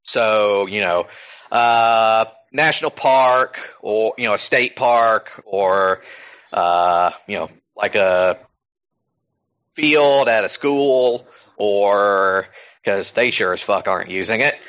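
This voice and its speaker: A man hosts a loose podcast chat at an unhurried pace, his voice bright and forceful. He rambles and swears freely, and the recording sounds slightly dull and lo-fi. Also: lowish voice, clear, resonant tone. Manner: profane, rambling, many fillers. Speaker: podcast host.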